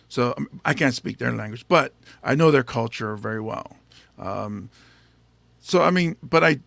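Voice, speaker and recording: A male podcast host chatting casually in an interview, playful and teasing himself, often halting on fillers. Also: a little high, vocal fry, a bit bright, no echo. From United States.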